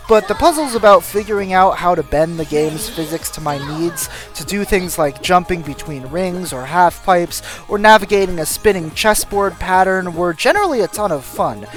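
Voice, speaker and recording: Dryly funny video essayist over music, mid-pitched voice. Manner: dry, self-deprecating humour. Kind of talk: scripted video game review narration.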